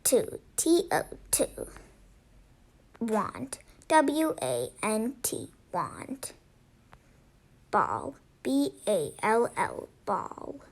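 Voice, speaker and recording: A woman's voice: on the high side, wildly swooping pitch, very slow, pauses often. A female presenter giving children a spelling lesson, saying single words and spelling them out. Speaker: sight word presenter.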